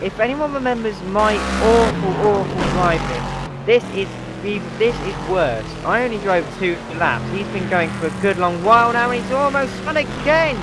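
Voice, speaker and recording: Nasal high-voiced English commentator. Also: male voice, animated, moderate pace. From Lincolnshire, England. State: has a cold. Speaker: sim racing commentator. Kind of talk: live race commentary. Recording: loud background noise.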